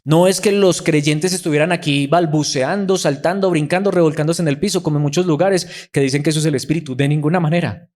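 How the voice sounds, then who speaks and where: A man's voice: on the high side, a little fast, few pauses, a little mellow. A male pastor preaching a sermon, acting out quoted voices and asking the congregation rhetorical questions.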